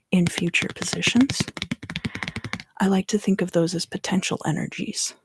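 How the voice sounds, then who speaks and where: A woman giving an ASMR tarot reading, soft-spoken and often whispering, explaining things unhurriedly in short phrases, close to the microphone. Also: lowish voice, gravelly, husky, no echo. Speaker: tarot reader and ASMR host.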